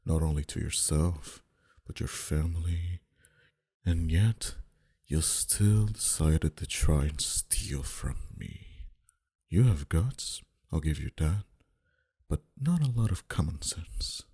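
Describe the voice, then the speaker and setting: A man playing a dominant, flirty mafia boss in an intimate ASMR roleplay, talking in a deliberate, quietly threatening and teasing manner. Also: a bass voice, breathy edge, full character voice. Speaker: voice actor.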